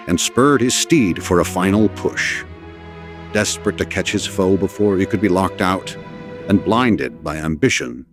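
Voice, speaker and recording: A man narrating a scripted legend as a dramatic storyteller, in a formal, literary and theatrical manner. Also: low voice. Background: orchestral score.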